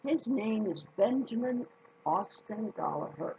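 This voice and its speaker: Lady reading a kids story, slow and folksy, bit gravelly, muffled noisy recording. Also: on the high side, expressive, darkish tone. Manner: folksy, deliberate, addresses listeners directly. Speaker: storyteller reading a picture book to children. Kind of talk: animated children's story read-aloud.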